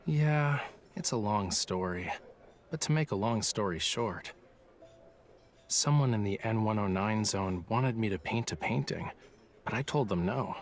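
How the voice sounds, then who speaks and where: A man's voice: lowish voice, a little slow. A man voicing a languid, playful young artist in an English-dubbed romance game, with a nonchalant, coy way of talking. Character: languid, teasing young male artist with a secretive streak.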